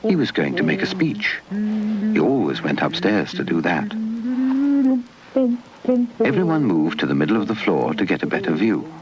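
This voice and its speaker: Animated low-voiced British male storyteller. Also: normal speed, pauses often, a loud crowd. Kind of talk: scripted children's puppet show narration. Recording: dull lo-fi 1980s VHS tape. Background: music.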